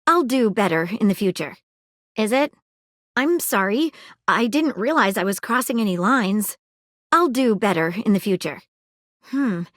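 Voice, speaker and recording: A woman voicing an eager, polite female magnifying-glass detective in a video game, crisp and precise, often apologetic. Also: medium pitch, expressive, unhurried, pauses often, a bit bright, no room sound, studio quality. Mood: earnest. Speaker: detective character. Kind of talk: video game character dialogue.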